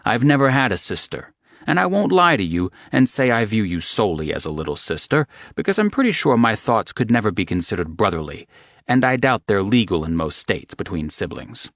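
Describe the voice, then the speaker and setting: A man voicing a protective young man who is gentle but swears freely. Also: big pitch swings, a little fast, dry recording, studio quality. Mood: tender. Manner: low, gentle, coarse-talking.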